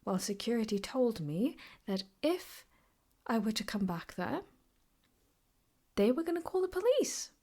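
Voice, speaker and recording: A woman playing an obsessive, possessive, sweetly menacing ex-girlfriend in an improvised ASMR roleplay, teasing and often slipping into breathy whispers. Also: on the high side, very animated, unhurried, warm tone, exaggerated voice. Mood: aggrieved, controlled.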